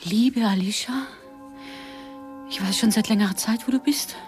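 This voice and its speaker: Actress as distraught, anxious wife, music behind. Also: female voice, medium pitch, normal speed, close to an everyday voice. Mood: tense, restrained. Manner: emotional, urgent, drifting into hushed whispers. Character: anxious, distraught wife hiding from her husband. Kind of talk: German radio play acting.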